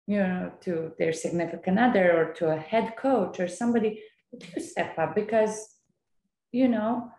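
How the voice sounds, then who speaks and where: A woman's voice: mid-range voice, moderate pace, a little mellow, a little hiss or hum. A young woman hosting a podcast over a video call, talking in a rambling way full of "like" and "you know.". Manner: rambling, frequent likes and you knows. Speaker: podcast host. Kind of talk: casual interview chat. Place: bare indoor room. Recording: echoey room on video call.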